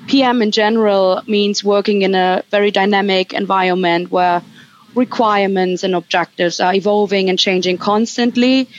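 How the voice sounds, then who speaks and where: A woman from Germany, a project manager, giving earnest, measured career advice as a podcast interview guest. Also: mid-range voice, highs cut off. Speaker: project manager at a recruitment firm.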